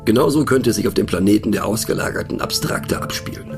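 An actor playing a middle-aged, pensive and mocking narrator in a radio play monologue, talking haltingly and wryly as if to a listener. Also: male voice, on the low side, over music, natural voice. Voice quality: husky, gravelly. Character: musing, mocking male narrator pondering abstract words.

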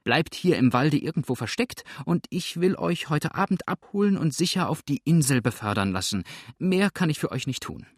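A frightened, prim widow from an audiobook, acted out by a man, pitched well up. The delivery is breathless and flustered, full of emphatic, pleading protest. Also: lively intonation, normal speed, husky, no echo, clean studio sound. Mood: desperate refusal. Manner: breathless, stammering, exclaiming protests. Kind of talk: audiobook character acting.

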